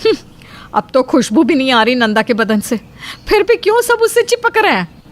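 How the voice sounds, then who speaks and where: Female actor as envious plotting housewife, cartoon drama; raised pitch, spiteful muttering. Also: sing-song, normal speed. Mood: suspicious, vexed. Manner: spiteful, muttering schemes to herself. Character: jealous, scheming elder sister-in-law plotting petty revenge. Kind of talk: Hindi cartoon voice acting.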